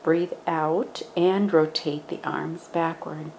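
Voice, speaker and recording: A woman gently guiding a standing yoga meditation, speaking in a calm, instructive way. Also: sits low, slow, creaky, slight background noise, rough recording.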